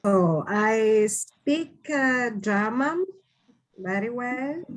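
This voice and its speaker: A non-native English-speaking woman in her fifties, answering in an online class, halting and searching for words. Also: a little high, lively intonation. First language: not English. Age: 50s. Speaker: German teacher taking part as a student.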